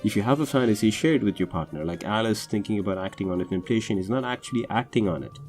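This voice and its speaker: Low mellow man, film podcast host. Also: quick pace, faint music behind. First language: Tamil. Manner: hesitant, many fillers and restarts. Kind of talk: casual unscripted movie commentary. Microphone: home recording over drawings.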